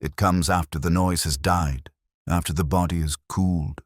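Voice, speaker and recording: A man narrating a first-person detective story in a measured, brooding, literary manner. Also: low voice, steady tone, no echo, clean studio sound.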